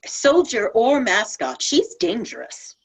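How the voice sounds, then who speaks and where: A woman's voice: on the high side, big pitch swings, slow, slightly rough, exaggerated voice. A woman playing a hostile medieval accuser in a dramatic script read, mocking and accusatory. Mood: accusing, mocking.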